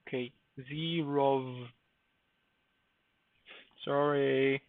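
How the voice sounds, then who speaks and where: A man's voice: mid-range voice, steady tone, extremely slow, no room sound. A man giving casual live commentary while streaming a game, thinking aloud with hesitant fillers. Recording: dry, phone-like narrow sound.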